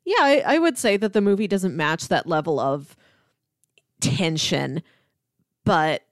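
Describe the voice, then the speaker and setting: A woman's voice: on the low side, lively intonation, unhurried, no room sound, clean studio sound. A woman talking casually about a film as a podcast co-host.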